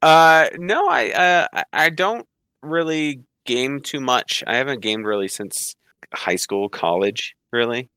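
A man being interviewed as an author on a podcast, hesitant and filling pauses with ums and uhs while laughing often. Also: mid-range voice, expressive, pushed and bright, clean tone, no echo, studio quality. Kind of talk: casual podcast chat.

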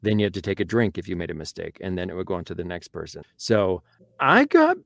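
A man with a fairly low voice hosts a relaxed podcast conversation. He asks curious follow-up questions and laughs easily. The sound is dry and close. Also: fast, creaky, no room sound. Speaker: podcast host. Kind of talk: casual podcast chat.

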